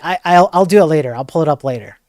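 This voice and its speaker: A man with a soft voice chatting casually to viewers as a livestream host, in an informal, joking, rambling way. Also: fairly high pitch, expressive, quick pace, no echo, studio quality.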